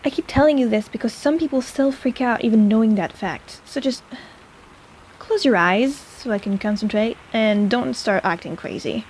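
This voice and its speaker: A snarky, caring young apothecary played by a woman speaks in a fairly high voice, chatty and casual as if tending to a patient up close. It is an intimate fantasy audio roleplay with teasing asides, recorded over noticeable ambient noise. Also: normal speed, a little mellow, close to an everyday voice, rough recording. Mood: reassuring, wry.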